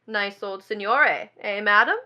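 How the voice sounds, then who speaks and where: A woman's voice: medium pitch, slow, dull audio, close to an everyday voice. An actress playing a defiant, scornful young woman in a stage play, imperious, mocking and melodramatic. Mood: bitterly mocking. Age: young adult.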